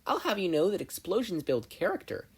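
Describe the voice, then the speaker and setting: A performer voicing a high, brash teenage inventor girl. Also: wildly swooping pitch, a little fast, breathy edge, full character voice. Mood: boastful, excited. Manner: loud, exasperated, boastful.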